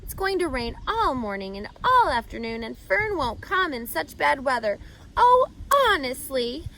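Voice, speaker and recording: A woman's voice: high-pitched, big pitch swings, unhurried, a bit bright, pitched above the performer's own voice, exaggerated voice, rough recording. A woman voicing a lonely, sulky young male pig in a plaintive, whining storybook read-aloud. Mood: sad, despairing.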